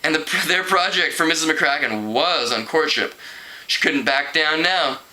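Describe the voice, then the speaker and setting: A man's voice: fairly high pitch, lively intonation, measured pace, sharp tone, poor audio. A man casually reading a teen novel aloud as an amateur, stumbling over words and repeating phrases. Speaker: amateur book reader.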